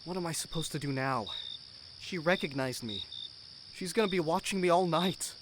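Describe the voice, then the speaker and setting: A man's voice: on the high side, moderate pace, noisy background, natural voice. A man playing a young, uneasy crew member in an audio drama, earnest, nervous and defensive. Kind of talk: scripted audio drama acting.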